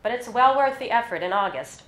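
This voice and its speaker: A woman's voice: fairly high pitch, animated, brisk, a bit bright. Woman reader as nervous, awkward retired husband in literary fiction, greying and dignity-seeking; roomy, echoing sound. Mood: casual. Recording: roomy, echoey live event recording.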